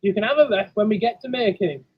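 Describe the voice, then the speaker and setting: An exasperated storybook train driver, played by a man, scolds loudly in a high, raspy voice. He is animated and firm but caring, with a slightly dull recording. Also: fast, dry recording. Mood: coaxing.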